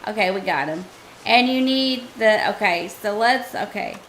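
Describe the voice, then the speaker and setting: Woman game streamer, casual running commentary, bright tone, a touch hoarse. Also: medium pitch, expressive, unhurried, a little hiss or hum. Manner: chatty, thinking aloud, talks to chat. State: hoarse, losing her voice.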